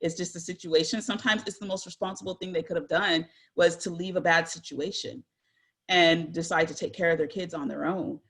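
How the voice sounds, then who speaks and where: A woman's voice: lowish voice, steady tone, fairly quick, fairly bright tone. Earnest woman in her forties, conversational. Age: 40s. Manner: earnest, conversational, frequent fillers and self-corrections. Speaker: guest speaker. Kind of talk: candid personal storytelling.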